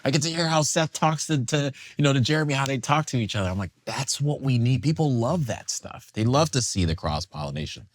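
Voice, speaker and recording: A man's voice: mid-range voice, brisk, vocal fry, few pauses, dry recording, studio quality. A man telling stories animatedly as a guest on a casual panel talk show, acting out quotes. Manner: animated storyteller, acts out voices.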